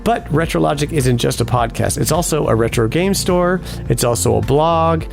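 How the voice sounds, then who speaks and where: A man hosting a casual podcast, upbeat and chatty, with a hoarse voice tonight. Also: fairly high pitch, moderate pace, darkish tone, faint music behind. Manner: upbeat, chatty announcer delivery. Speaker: podcast host.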